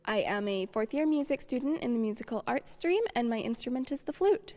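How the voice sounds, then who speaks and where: A young woman from British Columbia speaks on a student panel. Her voice is fairly high, and she talks casually, with open enthusiasm. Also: expressive, brisk, a little mellow, slight background noise. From Abbotsford, British Columbia, Canada. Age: young adult.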